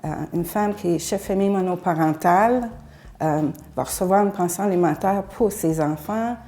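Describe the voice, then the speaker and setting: An older woman whose first language is English, from Alberta, giving an earnest, halting personal testimony as a feminist activist. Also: fairly low pitch, warm tone. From Alberta, Canada. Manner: earnest, halting with frequent pauses.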